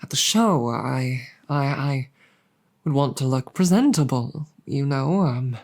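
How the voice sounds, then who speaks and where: Woman doing a shy proper dragon gf for audio roleplay, really deep voice, slow n stammery, super apologetic and loving. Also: expressive, darkish tone, heavily acted. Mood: flustered, tender. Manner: halting, stammering, formal and apologetic. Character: embarrassed, proper, affectionate female dragon girlfriend. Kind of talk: roleplay audio drama addressed to the listener.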